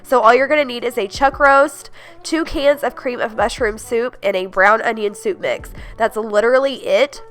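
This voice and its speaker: A woman's voice: fairly high pitch, moderate pace, a bit bright, soft background music. Stuffed-up woman gushing about recipes. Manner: upbeat, gushing, many "like"s and "you guys". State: congested, stuffed-up voice. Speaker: home cooking vlogger. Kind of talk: casual chatty recipe voice-over.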